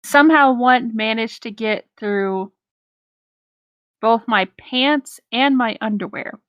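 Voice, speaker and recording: A woman's voice: fairly high pitch, slow pace, dry recording, muffled recording. A woman chatting casually and reading a story aloud, in an informal, rambling way.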